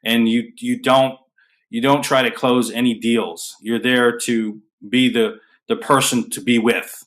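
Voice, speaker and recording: Man with a plain, even speaking voice. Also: medium pitch, unhurried. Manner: self-described monotone, filler-heavy, restarts. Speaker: host. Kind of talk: casual panel discussion. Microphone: video call.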